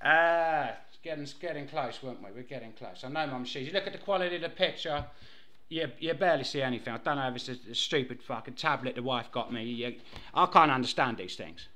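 A man's voice: mid-range voice, fast, sharp tone. An English man making a haggling prank call, rambling pushily and repeating his phrases. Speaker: prank caller posing as a fruit machine dealer.

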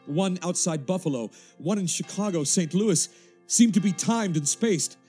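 An actor playing an urgent, stammering radio reporter watching an invasion, in 1930s radio drama style. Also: male voice, high voice, darkish tone. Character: panicked male radio reporter witnessing an invasion.

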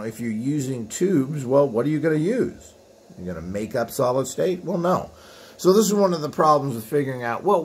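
A man hosting an informal hands-on walkthrough, explaining things in a rambling way as he films indoors. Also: mid-range voice. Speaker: host of a vintage equipment video channel. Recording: clear background noise, room ambience.

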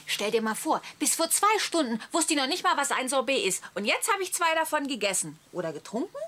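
An actress playing a giddy, gushing, easily charmed housewife in a comic radio play, excitable and admiring. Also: female voice, high-pitched, a little fast, full character voice. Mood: tipsy giddy. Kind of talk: radio play comedy dialogue.

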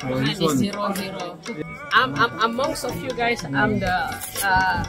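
A Malaysian woman chats casually in a mid-pitched voice. She speaks haltingly and repeats small words. Loud music plays over the field recording. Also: lively intonation, over a noisy crowd. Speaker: tour guide. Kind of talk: casual chat.

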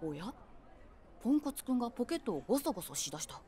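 A woman playing a nosy, wry middle-aged eavesdropper, narrating her inner thoughts with deadpan, teasing asides. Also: a little high, quick pace, husky. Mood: amused, observing. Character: nosy, wry 46-year-old eavesdropping woman with wild imagination. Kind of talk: scripted comedic inner-monologue narration. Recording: music behind the voice.

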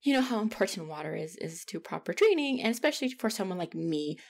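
A woman's voice: lowish voice, big pitch swings, fast, gravelly, a little mellow, full character voice. A woman acting a feisty young demigod trainee in an ASMR roleplay, chatty and easily flustered. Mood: flustered, nervous.

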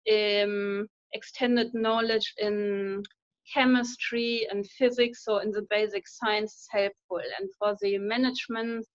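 A woman's voice: mid-range voice, no room sound. A woman in her thirties with a German accent, a university lecturer answering interview questions earnestly with many fillers and restarts over a video call. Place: indoor room at home. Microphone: laptop webcam mic on a video call. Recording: dull lo-fi call audio.

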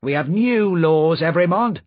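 A man voicing a stern, cold revolutionary official with a sharp, commanding manner in a storybook reading. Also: fairly high pitch, animated, a little slow, phone-quality sound, voice pushed up.

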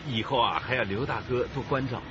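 An actor playing a deferential, educated worker in a radio drama, hesitant and humble, given to chuckling and eager to please. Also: male voice, mid-range voice, normal speed, lightly acted. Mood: eager, friendly. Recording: dull, noisy radio drama soundtrack.

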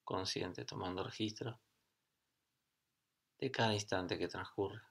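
A middle-aged man guiding a meditation as a teacher, speaking calmly in short phrases with long pauses. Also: sits low. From Argentina. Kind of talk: guided meditation.

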